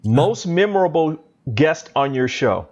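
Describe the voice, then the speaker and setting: A middle-aged man hosting a panel talk, speaking slowly and reflectively with gratitude over a video call. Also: on the high side, very animated, a little mellow, lo-fi sound, slight background noise. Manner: slow, grateful, reflective. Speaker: talk show host. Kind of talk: casual panel chat.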